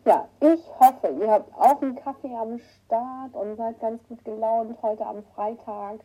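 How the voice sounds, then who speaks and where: A woman with a cold hosting a casual morning livestream, greeting her viewers by name and clearing her throat often. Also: on the high side, steady tone, measured pace, dull audio. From northern Germany. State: has a cold, hoarse at times. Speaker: livestream host. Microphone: new microphone that is not working right.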